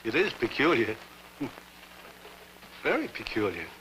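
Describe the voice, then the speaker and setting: A man's voice: medium pitch, wildly swooping pitch, slow, a bit bright, a little hiss or hum, heavily acted, rough recording. An older actor playing a mild, polite grandfather who has been forced to retire, in a 1950s television drama. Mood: wondering. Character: gentle, wistful old grandfather.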